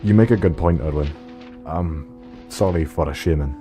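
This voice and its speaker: A man's voice: a bass voice, normal speed, dark tone, soft background music. A man playing a thoughtful, plain-spoken survivor in an audio drama, easygoing and reflective. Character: plain-spoken married male survivor, thoughtful, gently probing. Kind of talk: low-key audio drama acting.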